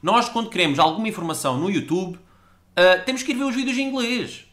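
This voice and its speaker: A man's voice: sits high, lively intonation, quick pace, a bit bright. A man from Portugal with a European Portuguese accent, a podcast guest giving a rambling, sarcastic rant. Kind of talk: ranting monologue.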